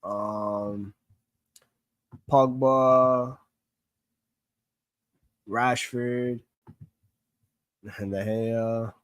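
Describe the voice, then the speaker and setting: A man's voice: medium pitch, steady tone, very slow, pauses often, dry recording. Young man with a Queens accent. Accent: Queens New York. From born in Greece, raised in New York. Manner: chatty, rambling, many fillers and restarts. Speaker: football streamer answering chat. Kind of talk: casual livestream Q&A.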